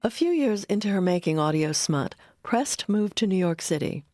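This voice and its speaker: A woman's voice: fairly low pitch, animated, normal speed, warm tone, no room sound, clean studio sound. Woman narrating a documentary over music. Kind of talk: scripted narration.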